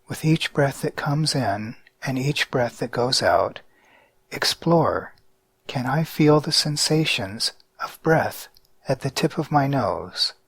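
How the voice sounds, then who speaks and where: A man guiding a mindfulness meditation in a calm, measured way with deliberate pauses. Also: medium pitch, slightly rough, no room sound. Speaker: meditation guide.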